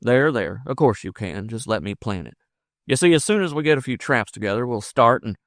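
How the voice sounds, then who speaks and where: A man reading as a bold, lovestruck miner from a frontier storybook. He pushes his words out with emphasis, by turns combative and coaxing, in a medium-pitched voice recorded dry and close. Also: expressive, a little fast, no echo, close to an everyday voice. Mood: reassuring, determined. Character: belligerent, ardent gold-rush miner in love, man. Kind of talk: audiobook character dialogue.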